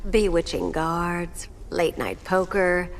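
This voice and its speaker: A woman playing a seductive, bitter plant villainess in a video game, taunting in a slow, sultry way. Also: fairly low pitch, flat delivery, gravelly, a little hiss or hum. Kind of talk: video game cutscene acting. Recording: loud music underneath.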